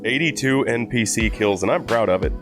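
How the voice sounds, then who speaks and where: A man's voice: mid-range voice, a little fast. A man who plays on a game stream, chatting casually with jokey, boastful banter. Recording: loud music over the voice. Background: loud music.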